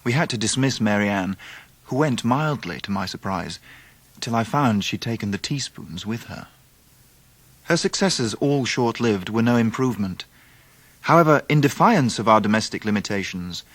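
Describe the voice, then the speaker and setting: A Victorian English gentleman narrating a radio drama in the first person. His voice is on the low side and measured. He is rueful and dryly humorous. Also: lively intonation. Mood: wry.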